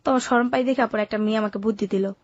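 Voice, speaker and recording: A woman's voice: fairly high pitch, fairly even intonation, rapid-fire, lo-fi sound. A seventeen-year-old woman with a colloquial Bangladeshi Bengali accent, telling her life story informally and matter-of-factly. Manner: matter-of-fact, unsentimental telling. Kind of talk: informal first-person storytelling.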